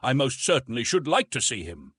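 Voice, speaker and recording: Guy doing a polite doubtful navy captain for an audiobook, formal, lively pitch, bit husky. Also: a little fast, no echo, heavily acted. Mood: amused concession. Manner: formal, reasoned, courteous. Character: skeptical, courteous French naval captain, adult man.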